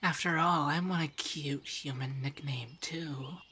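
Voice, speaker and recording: Man as femboy monster companion, soft-spoken, breathy, affectionate, teasing, shy stammer, ASMR roleplay, light background noise. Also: on the high side, steady tone, unhurried. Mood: chatty, playful. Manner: flirty, gently teasing, shyly stammering.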